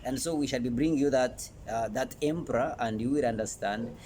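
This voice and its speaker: A man with a Ugandan accent presenting an educational talk in an emphatic, halting way, often repeating phrases. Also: medium pitch, darkish tone. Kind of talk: informal educational presentation to camera. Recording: noticeable background noise.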